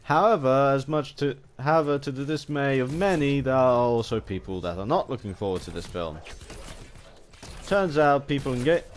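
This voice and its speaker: A man giving unscripted, rambling commentary as a gaming video host, halting and often restarting his sentences mid-word. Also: mid-range voice, animated. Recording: home recording with background noise.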